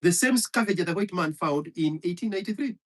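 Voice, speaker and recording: Husky, heated man ranting. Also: sits high, animated, quick pace. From Kenya. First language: Kikuyu. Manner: heated, profane, hammering repetition. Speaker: commentator. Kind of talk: impassioned monologue.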